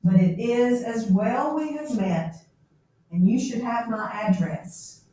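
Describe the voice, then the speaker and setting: A woman's voice: a little high, takes it slowly, warm tone. Small sinister gothic villain read by a woman, curt and formal, secretive, distant in an echoey room. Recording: echoey room, distant mic, faint noise.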